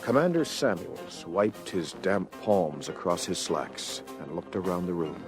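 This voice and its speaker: A man narrating an audio adventure story from a script in a measured, dramatic storytelling manner. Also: low voice, big pitch swings, over music.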